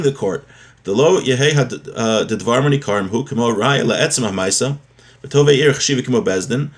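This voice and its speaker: A man giving a religious lesson, reading from a text and explaining it, repeating and rephrasing his points as he goes. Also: mid-range voice. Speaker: religious teacher giving a daily class.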